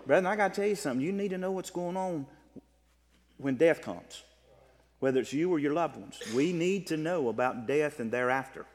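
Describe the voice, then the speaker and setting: A man preaching a sermon, teaching from the Bible in an emphatic way that swings between loud and soft. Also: on the high side, animated, brisk. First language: English. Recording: long reverb of a hall.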